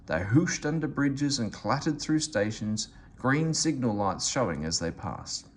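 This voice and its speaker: A man reading a children's story aloud in an expressive, animated way. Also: medium pitch, measured pace, slight background noise. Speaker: storybook reader.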